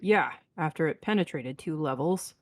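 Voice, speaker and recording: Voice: mid-range voice, expressive, moderate pace, no echo, lightly acted. A performer playing a blunt, decisive spaceship captain in a sci-fi script read, terse and commanding.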